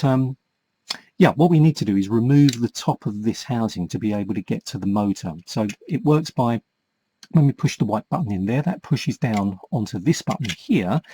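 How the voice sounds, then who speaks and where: A man's voice: lowish voice, mellow, slightly rough, no echo. A man in his forties giving a casual hands-on tutorial, explaining things step by step in a hesitant, conversational way. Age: 40s. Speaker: vintage toy repairer and presenter.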